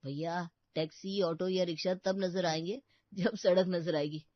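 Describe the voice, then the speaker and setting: A woman's voice: fairly low pitch, fairly even intonation, measured pace, no room sound, natural voice. A woman voicing a dry-witted young man in a cartoon, wry and matter-of-fact. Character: dry-witted elder brother, office worker. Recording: dull, muffled audio.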